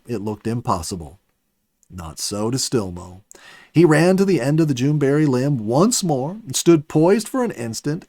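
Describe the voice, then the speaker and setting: A man reading a story aloud in an expressive, dramatic audiobook style, with emphatic swells and softer phrase endings. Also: mid-range voice, no room sound. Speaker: story reader, host of a children's story program. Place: dry, close studio recording.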